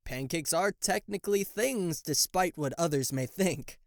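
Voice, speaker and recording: A man's voice: fairly high pitch, a little slow, dry recording, studio quality. A man playing a cocky, sarcastic devil and fallen angel in a roleplay audio, with a theatrical, teasing manner that slips into flustered stammering. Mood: playful, boastful. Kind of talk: roleplay audio acting addressed to the listener.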